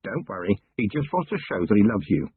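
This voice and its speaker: A man reading aloud and voicing a blunt, harried middle-aged watchman husband in his own husky voice. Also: lowish voice, very animated, brisk. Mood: low, guarded. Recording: thin phone-like sound.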